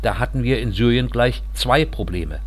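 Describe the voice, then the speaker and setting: A man reading the lines of a reserved, thirty-one-year-old Syrian Kurdish refugee, speaking in a calm, earnest first-person account. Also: medium pitch, normal speed, close to an everyday voice. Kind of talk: audiobook reading.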